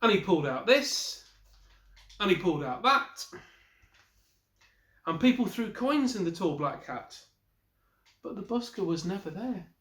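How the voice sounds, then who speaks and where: A man in his forties reads a children's picture book aloud. His voice sits a little high for a man, and he tells the tale expressively. He is in a small room with plenty of echo around the voice. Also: unhurried, long pauses. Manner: expressive storyteller with brief asides to listeners. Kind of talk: storybook read-aloud. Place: small wallpapered room indoors. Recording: roomy, echoey indoor recording.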